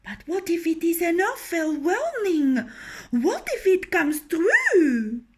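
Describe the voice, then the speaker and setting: Woman doing a fluttery storybook hen, very high, anxious, pleading, slow. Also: very animated, slight background noise, heavily acted. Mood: fretful. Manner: fretful and pleading.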